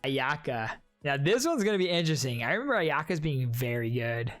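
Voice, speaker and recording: A man's voice: mid-range voice, sing-song, brisk, a bit bright, soft background music. A man giving informal, rambling reaction commentary on game trailers, musing as he goes.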